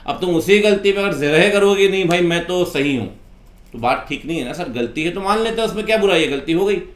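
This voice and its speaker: A man's voice: sits high, lively intonation, fast. A man, an accountancy teacher giving an impassioned, informal rant in an exasperated, reproachful way full of rhetorical questions. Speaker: accountancy teacher and video host. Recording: echoey room.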